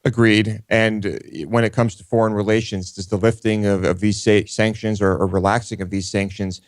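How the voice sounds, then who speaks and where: A man with a fairly low voice chats about politics as a co-host. His manner is questioning and skeptical. He is recorded dry and close on a studio microphone. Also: level tone, normal speed, dry recording. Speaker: talk show co-host. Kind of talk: conversational political discussion.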